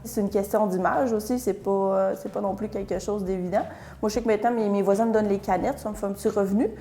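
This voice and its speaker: A woman's voice: medium pitch, steady tone, fast, mellow, slight background noise. A woman feminist activist speaking plainly and earnestly about her daily life. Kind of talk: personal testimony.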